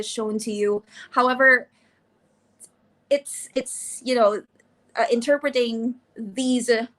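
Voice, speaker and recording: A young woman, co-hosting a live art sale and reading artist bios in a chatty way, with frequent restarts and quick laughs. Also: on the high side, a bit bright. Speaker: livestream co-host.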